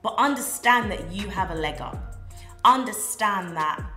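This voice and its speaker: A woman's voice: mid-range voice, unhurried. A young woman co-hosting a casual chat, talking emphatically and with animation. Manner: emphatic and animated, acts out other people's voices. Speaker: podcast co-host. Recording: echoey room with music behind.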